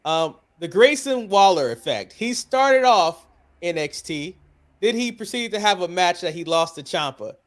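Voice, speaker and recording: A man's voice: sits high, lively intonation, a little slow. An American man hosting a wrestling podcast, chatting casually and bluntly, swearing and putting questions to others. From United States. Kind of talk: casual opinionated sports chat.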